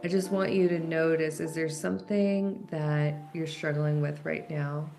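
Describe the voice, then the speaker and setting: Slow, low-voiced woman guiding meditation. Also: fairly even intonation, creaky, a little hiss or hum. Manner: gentle, unhurried, with long pauses. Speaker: guided meditation leader. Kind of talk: guided meditation, soft instructional talk. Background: background music.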